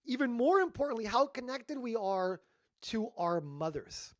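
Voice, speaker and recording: A man giving a storytelling talk as a workshop leader, animated but dropping to hushed tones at emotional moments. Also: very high voice, normal speed, dry recording, studio quality.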